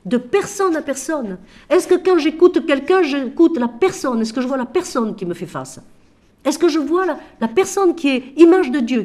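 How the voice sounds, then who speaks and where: Expressive French-speaking nun with a cold. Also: female voice, a little high. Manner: expressive, shifting from loud emphasis to quiet asides; asks rhetorical questions. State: has a cold. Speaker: Franciscan sister and author. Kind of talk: animated talk about her book.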